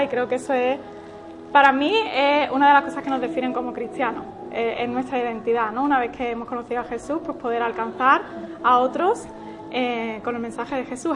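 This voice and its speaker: A woman from Málaga leading an informal group workshop, encouraging people and prompting them with questions. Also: high-pitched. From Málaga, Spain. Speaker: workshop leader.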